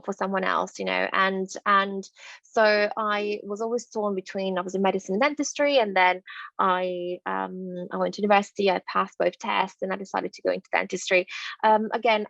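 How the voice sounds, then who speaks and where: A woman's voice: mid-range voice, vocal fry, dry recording, lo-fi sound. A woman from northern Italy with an Italian accent, a dentist giving an online masterclass in a chatty way, full of fillers and restarts. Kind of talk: online presentation on a video call. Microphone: video call.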